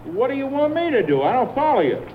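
An actor playing a cagey, patronising killer in a radio mystery, casual and controlled with cool menace. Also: male voice, extremely high pitch, very animated, fast. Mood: evasive, wary. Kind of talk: radio mystery drama acting. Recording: tinny band-limited vintage radio, faint noise.

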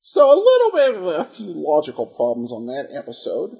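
Husky, animated male podcast host. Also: a little high, fairly quick. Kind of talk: conversational episode commentary.

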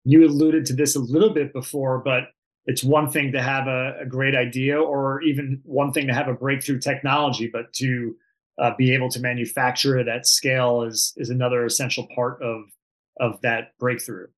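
A man's voice: mid-range voice, level tone, normal speed. A man interviewing a guest, asking thoughtful, probing questions.

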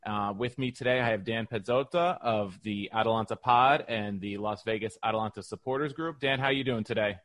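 A man's voice: on the low side, brisk, few pauses, fairly bright tone, dry recording. A man hosting a conversational sports podcast, interviewing a guest. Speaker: podcast host.

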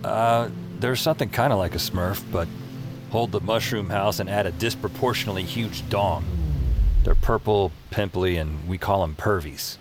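An actor playing a wry, haunted urban-fantasy hero who narrates and talks drily in an audio drama. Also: male voice, deep voice, moderate pace, music in the background, natural voice. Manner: dry, sardonic.

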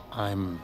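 An American army corporal in an audio drama. He talks very slowly and haltingly, measured yet quietly pressing, with clear music behind him. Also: fairly low pitch. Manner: halting, deliberate, soft-pedalling.

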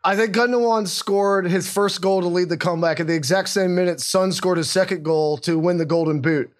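Middle-aged american guy cohosting a soccer pod, a bit high-voiced, easygoing. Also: brisk. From United States. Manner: easygoing, turns earnest and then animated. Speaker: podcast co-host. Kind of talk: casual sports podcast chat. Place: home in Austin, Texas.

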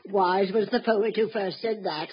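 An actress playing a chatty, nosy neighbour with a shrill, singsong, folksy manner in an old-time radio drama. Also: female voice, a little slow, lightly acted. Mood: cheery, nosy. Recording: noisy, dull old radio recording.

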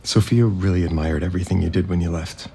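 Evasive widower and resort heir: low, creaky, apologetic, naturalistic audio drama over noisy ambience. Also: male voice, steady tone, fast, dark tone. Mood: strained, mournful. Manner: hesitant, apologetic, placating.

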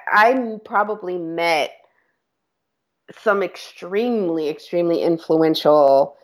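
A woman creative director speaking as a podcast guest, candid and chatty, laughing now and then and correcting herself as she goes. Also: low voice. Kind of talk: casual interview talk.

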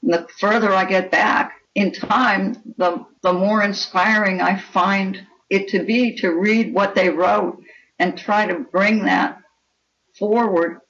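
An older woman talks as an interview guest, with a deliberate, opinionated way of putting things. Her pitch sits in the middle range. The recording is slightly dull and lo-fi. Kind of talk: conversational interview.

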